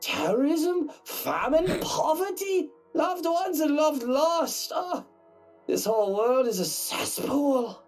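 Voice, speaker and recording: A woman's voice: high voice, big pitch swings, slow, a little mellow, heavily acted. An actress playing a jaded, bitter young woman in a visual novel, cynical and dramatic. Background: game music.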